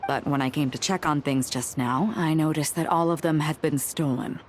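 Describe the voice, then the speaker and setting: A woman acting a composed, shrewd, commanding woman in a video game, with a cool, self-assured and measured manner. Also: deep voice, fairly even intonation, a little mellow, slight background noise, natural voice. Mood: composed concern.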